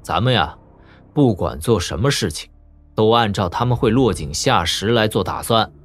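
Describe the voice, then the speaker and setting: An actor playing a composed, shrewd young prince who commands troops in a Chinese martial-arts audio drama, speaking with assurance. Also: male voice, fairly low pitch, lively intonation, normal speed. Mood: wry, resigned. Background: background music.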